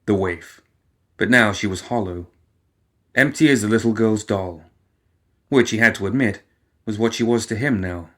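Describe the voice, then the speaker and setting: A man reading a fiction story aloud as an expressive audiobook narrator. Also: lowish voice, normal speed, pauses often. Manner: expressive, takes on characters' inner voices.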